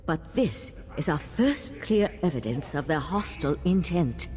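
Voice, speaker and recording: A woman's voice: sits low, expressive, a little slow, a little mellow, in a large hall. An actress playing an earnest, cautious, scholarly female elven mage in a video game. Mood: wry, serious. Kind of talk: video game character dialogue.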